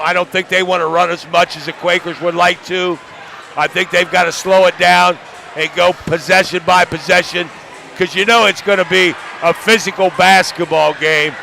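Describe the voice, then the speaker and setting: A man's voice: sits high, steady tone, moderate pace, creaky, pushed and bright. An American man, a coach working as basketball analyst, giving pre-game analysis. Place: basketball gym. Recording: faint gym crowd and noise behind.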